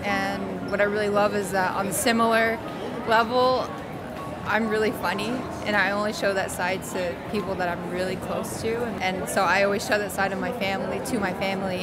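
A young woman from Arizona giving reflective, halting answers in an outdoor interview. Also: mid-range voice, level tone, over loud music. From Navajo Nation, Arizona, US. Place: outdoors in a busy public spot. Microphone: handheld interview mic. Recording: very noisy outdoor crowd.

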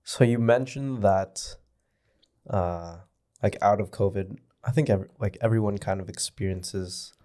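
A man's voice: lowish voice, a little slow, warm tone. A man in his twenties chatting casually as a podcast co-host on a studio microphone. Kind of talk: casual chat. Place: small indoor podcast studio. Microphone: boom-arm studio microphone.

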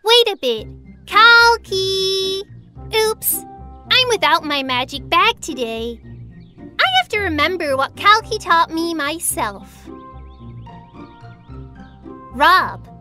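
A cheerful little cartoon girl, very high and lively in pitch. She takes her time, explaining things helpfully and clearly over light music. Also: exaggerated voice. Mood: bright, curious. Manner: slow, clear, teacherly enunciation.

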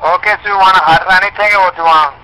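A man's voice: sits high, steady tone, racing, pushed and bright, a little hiss or hum. A man with a non-native English accent, working as a pizza driver, politely repeating himself on a customer phone call. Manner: polite, repetitive. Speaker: pizza delivery driver taking calls.

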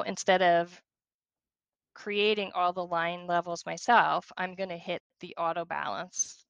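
A woman leads a screen-share software tutorial in a conversational, step-by-step way. Her voice sits a little low for a woman, with a bright, forceful edge and a creaky fry. The sound is close and dry. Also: dry recording. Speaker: software demonstrator.

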